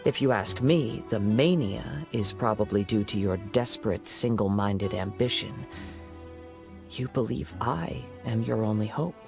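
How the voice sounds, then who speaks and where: An actor voicing a calm, perceptive young swordsman who speaks in a measured, analytical way. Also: lowish voice, gravelly, over music. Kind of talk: game voice acting. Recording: game soundtrack under voice.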